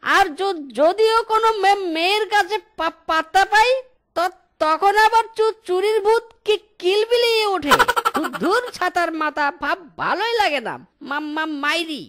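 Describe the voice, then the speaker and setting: A woman voicing a lovelorn male fox thief in a cartoon, stuttering heavily on first syllables with a melodramatic, halting delivery. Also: very high-pitched, fairly bright tone. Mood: whining despair. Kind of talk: cartoon voice acting.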